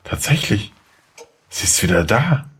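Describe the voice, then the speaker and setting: A man's voice: on the low side, sing-song, measured pace, a bit bright, husky, heavily acted. A man playing a bewildered, anxious lawyer who doubts his own mind, in a questioning, unsettled way, in an audio drama. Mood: startled. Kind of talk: German audio drama acting.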